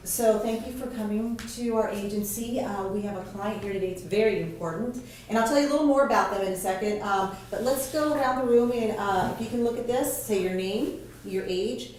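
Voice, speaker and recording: A woman's voice: medium pitch, a little mellow, a little hiss or hum. Chatty woman moderator in an echoey room. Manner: chatty, many fillers and restarts. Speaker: focus group moderator. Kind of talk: casual group briefing. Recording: echoey hollow room, mic at a distance.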